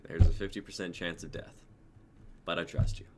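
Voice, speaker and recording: A pompous young techie stranded after a crash, played by a man in an amateur sci-fi reading. The voice is very low, and the delivery is self-important and pessimistic. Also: flat delivery, fairly quick, a little mellow, a little hiss or hum, lightly acted. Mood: grim. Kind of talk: amateur dramatic table reading.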